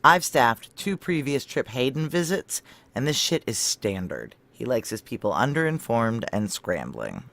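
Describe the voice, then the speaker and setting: A woman's voice: a bass voice, very animated, a little slow, vocal fry, a bit bright, heavily acted. An actress playing a tough, sardonic, profane female military security chief in a noir audio drama, blunt and wry. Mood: wry, weary. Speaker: lead actor and narrator.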